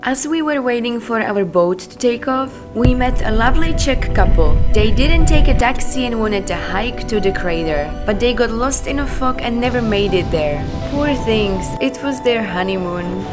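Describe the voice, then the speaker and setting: A cheerful young woman narrates her island travel vlog in a fairly high voice. She sounds bright and friendly as she talks through the day, with loud music running underneath. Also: animated, normal speed, few pauses. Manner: cheerful, upbeat sign-off and narration. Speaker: travel vlogger host. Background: loud background music.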